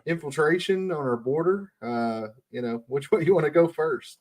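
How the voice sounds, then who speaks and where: A man's voice: fairly high pitch, normal speed, a little mellow. A man hosting a podcast in a casual, unscripted way. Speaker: podcast host.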